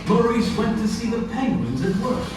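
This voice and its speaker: A man's voice: extremely high pitch, lively intonation, moderate pace. A man delivering scripted storybook narration for a puppet show. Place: indoor attraction puppet show, heard from audience distance. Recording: echoey, distant venue with background noise.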